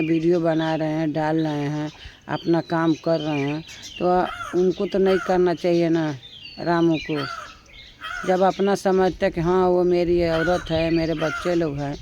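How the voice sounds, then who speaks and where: A man's voice: high-pitched, darkish tone, a little hiss or hum. A man with a Bhojpuri accent talking casually in a rambling, repetitive way. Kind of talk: casual conversational commentary. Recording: dull lo-fi sound, birds chirping.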